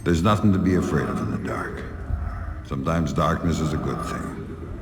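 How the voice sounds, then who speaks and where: An actor voicing a hardened ex-agent, curt and guarded with adults and soft with a child. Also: male voice, a bass voice, moderate pace, echoey room, very noisy. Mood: gentle. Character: hardened male ex-agent, guarded, gentle father. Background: music.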